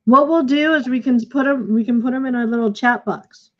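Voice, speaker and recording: A woman's voice: fairly high pitch, a little fast. A woman hosting an informal online event in an effusive way over a video call. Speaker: host and play director. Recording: dull, lo-fi video call audio.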